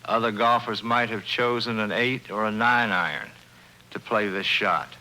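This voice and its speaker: A man's voice: fairly low pitch, moderate pace, sharp tone, poor audio. A man giving a stiff, scripted testimonial in a 1950s radio advertisement.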